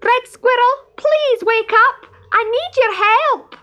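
A woman's voice: extremely high pitch, sing-song, unhurried, fairly bright tone, highs cut off, pitched above the performer's own voice, heavily acted. A woman voicing a small, anxious male stoat in a children's story, plaintive and pleading. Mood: urgent calling. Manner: plaintive, exclaiming.

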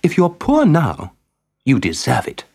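A man voicing a young wooden puppet boy in a storybook reading, speaking with a bright, eager and indignant manner. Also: mid-range voice, wildly swooping pitch, moderate pace, creaky, long reverb tail, pitched above the performer's own voice, full character voice.

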